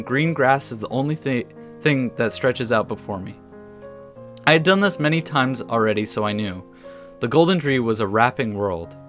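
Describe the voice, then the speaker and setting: A man's voice: mid-range voice, a bit bright. Man doing a let's play over guitar music. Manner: casual, stumbles over words when reading aloud. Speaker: gaming video host. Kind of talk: let's play commentary mixed with reading story text aloud. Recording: music under the voice.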